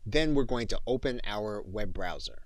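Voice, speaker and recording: A man giving deliberate, instructional narration as the instructor in a software tutorial. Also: lowish voice, animated, no room sound.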